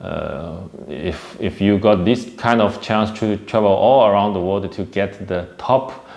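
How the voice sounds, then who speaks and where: A man hosts a casual commentary video. His voice sits somewhat low, and he talks in a rambling, hesitant way, often restarting his sentences. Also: measured pace, vocal fry, darkish tone. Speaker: host.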